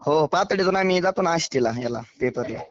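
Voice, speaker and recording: A man's voice: on the high side, animated, brisk. A man with a Maharashtrian accent in a casual phone conversation, answering in brief, noncommittal replies. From Maharashtra, India. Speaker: actor answering a phone call. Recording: dull lo-fi phone call.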